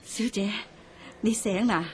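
A woman's voice: medium pitch, steady tone, takes it slowly, background noise, close to an everyday voice. A woman playing an anxious, caring older wet nurse in a radio drama, pleading with a Hong Kong Cantonese accent. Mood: relieved, tender.

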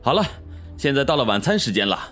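A man's voice: medium pitch, very animated, quick pace, fairly bright tone, soft background music. An actor playing a stern, businesslike male prison gatekeeper in a radio drama. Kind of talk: dubbed Chinese radio drama acting.

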